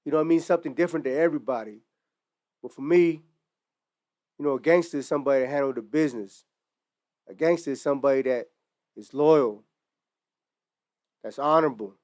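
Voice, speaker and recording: A man in his forties talks earnestly and reflectively, with casual slang running through his storytelling. His voice is smooth and clear at an ordinary middle pitch. The sound is dry and close, with no room echo. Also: fairly quick, long pauses, a little mellow. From Utah, United States. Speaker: merch brand founder. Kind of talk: earnest personal monologue to camera. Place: indoors in front of merchandise display.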